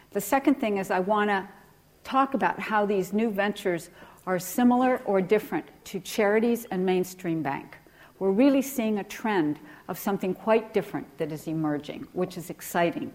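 A woman's voice: medium pitch, darkish tone. A middle-aged woman from Ecuador with an American accent, giving a conversational lecture to a class with frequent fillers and restarts. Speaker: guest lecturer.

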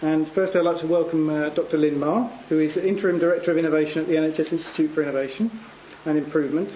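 A man's voice: fairly high pitch, steady tone, quick pace, dark tone, lo-fi sound, slight background noise. Hesitant man chairing a conference panel. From England. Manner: hesitant, many ums and restarts. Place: conference hall.

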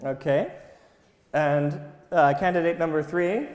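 High-pitched man presenting in an echoey hall. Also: unhurried. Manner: prompting, animated, playful. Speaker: presenter running a live demonstration. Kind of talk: lively interactive presentation. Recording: echoey, reverberant lecture hall.

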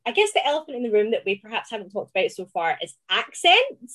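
Glaswegian woman, academic guest, chatty and animated, with a slightly high voice. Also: fast talker. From Scotland. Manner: chatty, animated, laughs often. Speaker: classics researcher, guest. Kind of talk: conversational podcast interview.

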